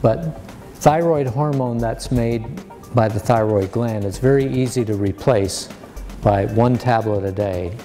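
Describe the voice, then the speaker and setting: An American man in his sixties, a surgeon explaining medicine to a lay audience in a measured, plain-spoken way. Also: medium pitch, mellow, soft background music. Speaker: surgeon giving a public lecture. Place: medium-sized lecture room.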